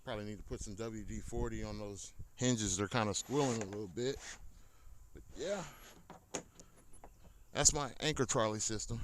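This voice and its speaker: An American man giving a casual, unscripted gear walkthrough as a hobby fisherman, rambling in a folksy, informal way into a tablet. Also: medium pitch. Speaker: hobby kayak fisherman showing his gear. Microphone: handheld tablet, moving nearer and farther. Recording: noisy tablet audio, distance from mic shifting.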